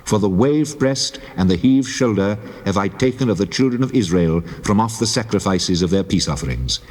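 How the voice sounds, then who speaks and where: Scripture drama: God as stern lawgiver, low, measured, declamatory, heavy reverb. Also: male voice, expressive, darkish tone. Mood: solemn, commanding. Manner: solemn, declamatory, measured delivery. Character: the Lord God, solemn commanding male lawgiver. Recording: long cavernous reverb.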